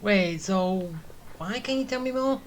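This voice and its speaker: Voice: mid-range voice, measured pace, a little hiss or hum. Hesitant gamer muttering through gameplay. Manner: hesitant, repeats words, muttering to self. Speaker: gaming streamer, player. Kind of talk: casual gameplay commentary.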